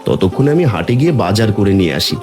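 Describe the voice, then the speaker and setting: A man's voice: low voice, sing-song, quick pace, darkish tone, soft background music. A man voicing a mild, reassuring village husband and father in a cartoon. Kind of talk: cartoon voice acting.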